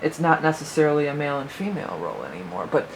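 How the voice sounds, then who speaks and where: A woman's voice: deep voice, big pitch swings, racing, background noise. A woman giving a casual spoken astrology forecast as a psychic reader, rambling and thinking aloud with many fillers, her voice a little worn.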